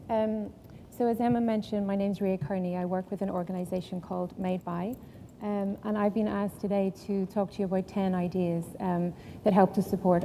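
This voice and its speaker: A woman's voice: mid-range voice, warm tone. A woman with an Irish accent giving a conference talk, explaining steadily with many ums. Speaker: conference speaker. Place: conference hall. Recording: echoey hall, distant, faint noise.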